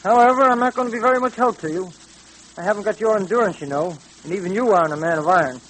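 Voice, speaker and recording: An actor playing a weary, talkative detective's sidekick in an old radio drama, plain-spoken and folksy. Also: male voice, high-pitched, quick pace, a bit bright, husky, poor audio. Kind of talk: 1930s radio drama acting. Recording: hissy old radio recording.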